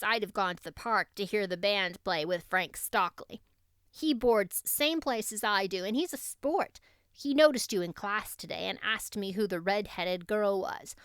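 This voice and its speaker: A woman's voice: medium pitch, fairly bright tone, clear, resonant tone, dry recording, clean studio sound, lightly acted. A woman voicing a spiteful, boastful teenage schoolgirl who gushes in slang and offers false pity, in an audiobook story. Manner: gushing, slangy, falsely pitying.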